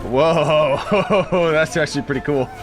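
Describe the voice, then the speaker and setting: A man's voice: a little high, takes it slowly, over music. Sarcastic man joking over gameplay. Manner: sarcastic, joking reactions to the story. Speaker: gaming streamer. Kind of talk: casual commentary over gameplay.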